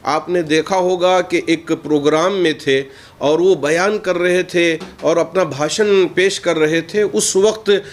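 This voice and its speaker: A man's voice: high voice, fairly even intonation, takes it slowly. A man delivers a statement in the role of a cleric. His wording is formal and his manner earnest, as if addressed to the news media. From India. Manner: earnest, formal Urdu-laced speech.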